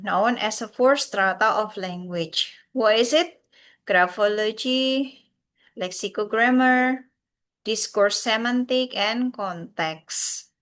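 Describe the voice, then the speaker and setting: A woman from Central Java with a Javanese accent, giving a recorded English lecture, pausing often with "uh" and "yeah" and addressing the class as everyone. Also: fairly high pitch, fairly bright tone. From Central Java, Indonesia. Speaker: university lecturer.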